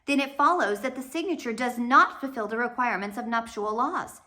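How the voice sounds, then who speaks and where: A woman voicing a kindly woman judge in a storybook, speaking in a careful, deliberate, earnest way. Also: fairly high pitch, sharp tone. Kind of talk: storybook character voice.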